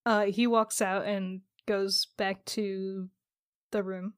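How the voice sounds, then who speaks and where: A woman's voice: medium pitch, level tone, slow, smooth, no room sound, clean studio sound. A woman playing a tabletop role-playing game, chatting informally and jokingly as she describes her character's actions. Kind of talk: casual improvised game chat.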